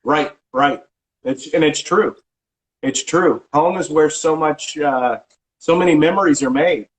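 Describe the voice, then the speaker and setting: A middle-aged man working as a real estate agent, answering interview questions in a casual, halting way with frequent restarts and filler sounds. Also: on the high side, rough recording. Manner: halting, many restarts and ums.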